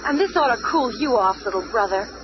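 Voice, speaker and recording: A woman's voice: on the high side, expressive, quick pace, close to an everyday voice. A woman playing a spirited, earnest superheroine big sister in a dramatic 1970s radio play. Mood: scolding. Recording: muffled, faintly noisy old radio recording.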